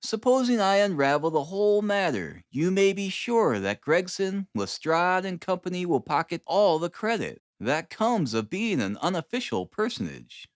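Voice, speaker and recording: A man voicing a brisk, dryly superior detective in an audiobook, calm and clipped in manner. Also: high voice, dry recording, studio quality, voice pushed up. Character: brisk, dryly superior male detective, languid then energetic. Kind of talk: dramatised audiobook reading of Victorian detective fiction.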